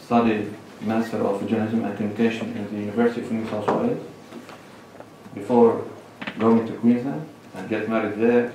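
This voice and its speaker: A middle-aged man with a Lebanese accent delivers a measured conference talk at a lectern, setting out his points one by one. His voice sits a little low. It is picked up from across a large lecture hall, with heavy echo and faint room noise. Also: a little mellow. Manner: measured, lists points with pauses. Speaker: conference speaker. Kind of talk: academic presentation. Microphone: lectern microphone, far camera. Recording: echoey hall recorded from far off.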